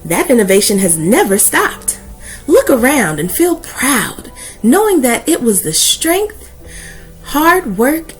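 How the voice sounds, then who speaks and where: A woman reading a children's picture book aloud as host, in a deliberate, emphatic way with dramatic pauses. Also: a little high.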